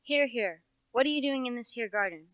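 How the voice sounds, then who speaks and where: A young woman playing a gruff, nosy rustic village policeman in a radio play, with a folksy, officious way of talking. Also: fairly high pitch, lively intonation, a little fast, a bit bright, dry recording. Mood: officious, suspicious. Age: young adult. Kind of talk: radio play character acting.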